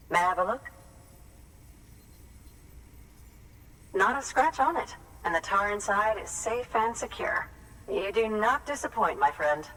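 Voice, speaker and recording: An actress playing a studious, grateful scientist in a video game, speaking in a formal, measured, erudite way. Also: female voice, mid-range voice, fairly bright tone, close to an everyday voice, rough recording. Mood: impressed, grateful. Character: studious, grateful female scientist researching tar and extinction. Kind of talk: dramatic game dialogue, expository.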